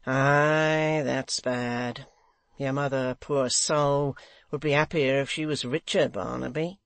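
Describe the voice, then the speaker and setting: A woman voicing a crafty old blind man who speaks in a coaxing, insinuating, measured way. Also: rumbling low, steady tone, no room sound, heavily acted.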